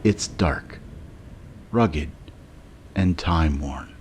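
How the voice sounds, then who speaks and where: A man narrating a scripted guided meditation in a measured way, with long pauses between short phrases. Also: very deep voice, animated, a little mellow, slight background noise.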